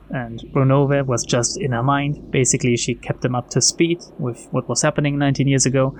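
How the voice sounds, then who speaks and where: A man's voice: medium pitch, slight background noise, rough recording. A man hosting a game video, reading text aloud and adding wry, casual commentary. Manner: informal, wry. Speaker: gaming video host. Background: soft game music.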